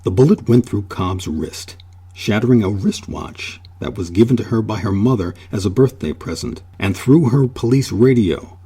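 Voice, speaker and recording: A man hosting and narrating a scripted history documentary in a measured, solemn manner. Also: fairly low pitch, lively intonation, mellow, husky. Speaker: host and narrator.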